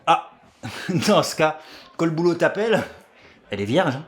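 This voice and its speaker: A man's voice: on the high side, sing-song, normal speed. A man playing a nervous, fawning, lecherous gangster in an audio drama, hesitant and stammering. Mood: eager. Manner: hesitant, stammering, repeats himself.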